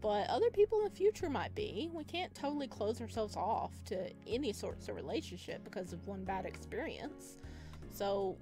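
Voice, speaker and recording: A woman's voice: medium pitch, normal speed. Playful woman reading a game aloud over music. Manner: playful, expressive. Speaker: streamer playing a visual novel. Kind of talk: game playthrough, expressive read-aloud with chatty reactions. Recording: music playing behind the voice.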